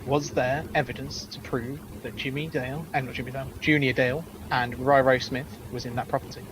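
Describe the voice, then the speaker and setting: A man plays a methodical, polite lawyer with a medium-pitched voice, putting questions to a witness. It is courtroom roleplay over game voice chat with clear noise behind the voice. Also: little pitch movement, fairly quick, natural voice.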